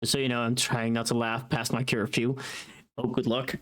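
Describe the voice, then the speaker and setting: A woman's voice: very deep voice, little pitch movement, moderate pace, fairly bright tone. A woman livestreamer chatting casually while she works, muttering to herself and repeating phrases in a rambling way. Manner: swears freely. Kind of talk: casual chat while working on stream.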